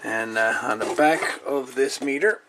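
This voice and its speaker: A man presents a piece of gear in a relaxed, hands-on video. He speaks in a medium-pitched voice with folksy, colloquial phrasing, as if chatting to viewers while he works. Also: measured pace, background noise. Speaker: video host reviewing and repairing gear. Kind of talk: casual hands-on demonstration talk. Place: indoor workbench.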